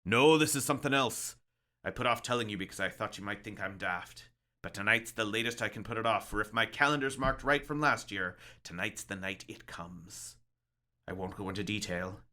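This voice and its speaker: A man's voice: fairly low pitch, normal speed, sharp tone. A man voicing a lighthouse keeper in a dramatic story reading, folksy, musing and ominous, like an older storyteller. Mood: confiding, urgent.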